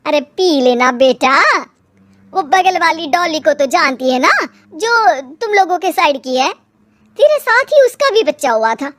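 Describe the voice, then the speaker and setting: A woman voicing a kindly older mother-in-law in an animated moral story, doting, coaxing and motherly. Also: sits high, no room sound.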